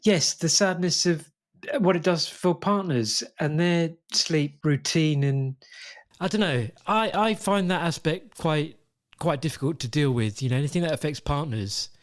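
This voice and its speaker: A man's voice: fairly high pitch, unhurried. A British man co-hosting a podcast, chatting thoughtfully and conversationally, often tagging his points with "right?" or "you know". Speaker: podcast co-host. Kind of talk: casual podcast chat.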